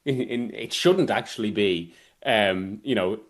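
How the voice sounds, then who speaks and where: A man giving football analysis as a guest journalist, rambling and often interrupting himself as he works through a point. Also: on the low side. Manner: halting, rambling, self-interrupting delivery. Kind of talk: sports discussion, analysis.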